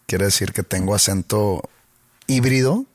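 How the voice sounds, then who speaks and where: A man with a Monterrey accent hosting a podcast, teasing his co-host in a dry, deadpan way. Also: on the low side, a little slow, noisy background. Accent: northern Mexican, Monterrey. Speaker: podcast host and touring comedian. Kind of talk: casual podcast banter.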